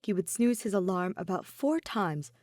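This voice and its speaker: Female story narrator, animated pitch, expressive scripted reading, dry close sound. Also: normal speed, no room sound. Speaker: podfic narrator.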